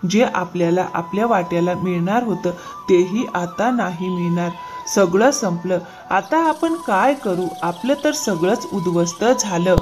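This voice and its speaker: A woman voicing a greedy, deceitful farmer man in a moral-story cartoon, flattering and easily flustered. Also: lowish voice, unhurried, faint music behind, low-quality recording. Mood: despairing.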